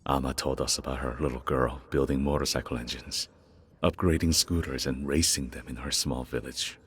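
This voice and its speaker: An actor playing a weary, regretful father in a video game, reminiscing tenderly about his child in a reflective first-person monologue. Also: heavily acted. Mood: wistful. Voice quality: gravelly, husky, very deep.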